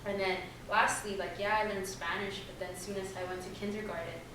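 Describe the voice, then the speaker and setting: A woman's voice: medium pitch, fairly even intonation, brisk, noisy background. A young woman on a panel telling her family's story in a loose, chatty way, full of fillers. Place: large echoey room. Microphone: distant room mic. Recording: echoey hall recorded from far off.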